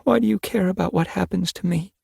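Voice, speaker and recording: A high, soft young she-cat, fragile and breathy from exhaustion and grief, with a gravelly catch on the low ends of her words. The voice sits very high and close, and the recording is dry with no room sound. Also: brisk, dry recording, full character voice. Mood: despairing. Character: weak, grieving young she-cat giving birth. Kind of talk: audiobook character voice.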